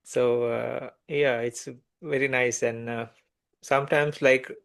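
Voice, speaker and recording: A man whose first language is Sinhala, a meditation teacher answering gently and hesitantly, with many fillers. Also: mid-range voice, steady tone, slow, vocal fry. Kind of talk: conversational interview answers.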